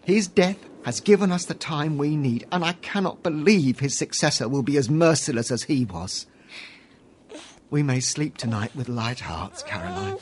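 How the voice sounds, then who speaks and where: An actor playing a weary, gentle husband in a radio drama, speaking earnestly and formally in a husky voice. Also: male voice, fairly high pitch, lively intonation, normal speed, a little hiss or hum, natural voice. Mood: relieved.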